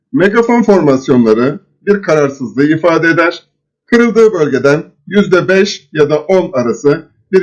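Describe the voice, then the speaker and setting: Higher-voiced man teaching, dull lo-fi sound. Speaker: presenter of trading chart lessons. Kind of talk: instructional screen-share explanation. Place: voice-over recorded over screen capture. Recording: dull, lo-fi voice recording.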